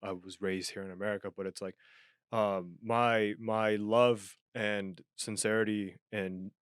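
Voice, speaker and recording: Young guy from japan cohosting a podcast, low smooth voice, earnest, lots of restarts, dry sound. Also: measured pace, no room sound. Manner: earnest, animated, many restarts. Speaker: podcast co-host. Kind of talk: casual chat.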